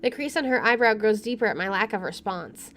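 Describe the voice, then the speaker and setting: A woman streamer reading a visual novel's narration aloud in an expressive, storytelling style. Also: medium pitch, fairly quick. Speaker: let's play streamer. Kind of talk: expressive read-aloud narration of game text. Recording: faint background noise.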